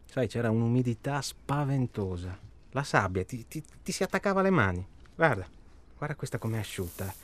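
A man's voice: medium pitch, expressive, fairly quick, lightly acted. Hesitant, irritable man, acted for radio. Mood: wistful, musing. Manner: hesitant, rambling, irritable, colloquial. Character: self-absorbed, stalling male tourist hunting human prey. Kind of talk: naturalistic radio drama acting.

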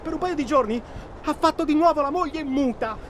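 A man's voice: extremely high pitch, a little fast. Bookish, insistent man over noisy ambience. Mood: agitated, confessing. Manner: bookish, insistent, talks at length. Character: well-spoken, self-absorbed male hunting traveller, obsessively confiding. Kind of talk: naturalistic radio drama dialogue. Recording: clear ambient noise behind.

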